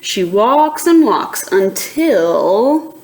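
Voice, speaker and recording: Slow-paced woman reading a storybook. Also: medium pitch, sing-song, background noise. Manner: slow, deliberate storytelling with questions to young listeners. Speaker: teacher reading a picture book aloud to students. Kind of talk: expressive children's storybook read-aloud.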